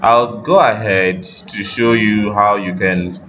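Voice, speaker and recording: A man giving an informal, step-by-step software tutorial as an instructor, repeating phrases as he explains. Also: lowish voice, fairly even intonation, takes it slowly, slight background noise. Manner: repetitive step-by-step explaining, checking understanding. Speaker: web development instructor. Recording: roomy, dull audio with music behind.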